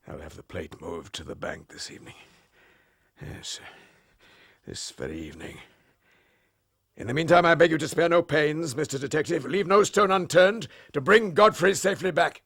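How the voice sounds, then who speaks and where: Irascible old English lord, miserly and pompous, gravelly, wildly swooping delivery, period detective drama. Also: male voice, fairly high pitch, very animated, moderate pace, fairly bright tone, heavily acted. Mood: shaken, anxious. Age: older. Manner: indignant, pompous, haughty.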